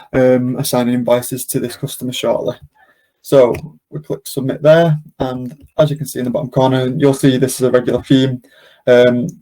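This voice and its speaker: Mellow-voiced man giving a software walkthrough. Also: medium pitch, rough recording. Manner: casual, step-by-step explaining. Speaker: webinar host and software demonstrator.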